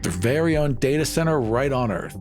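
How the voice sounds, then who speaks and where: A man's voice: medium pitch, lively intonation, fairly quick. Man improvising roleplay over faint music. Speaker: player in a tabletop roleplaying show. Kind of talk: conversational improvised roleplay in character.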